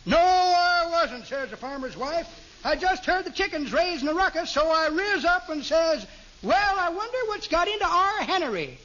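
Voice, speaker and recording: Very high-pitched folksy old-timer. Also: male voice, expressive, moderate pace, pushed and bright, heavily acted. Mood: amused. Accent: American. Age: elderly. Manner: folksy, drawling storyteller. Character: cheerful rambling old-timer man telling tall tales. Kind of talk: 1940s radio comedy acting. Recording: dull, faintly noisy old radio recording.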